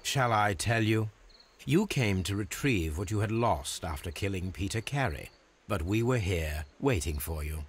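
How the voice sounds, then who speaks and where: A Victorian English gentleman detective with a low, very animated voice. He is precise, formal and supremely self-assured, probing as he speaks in the manner of a video game cutscene. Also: unhurried, a little hiss or hum. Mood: calm, probing.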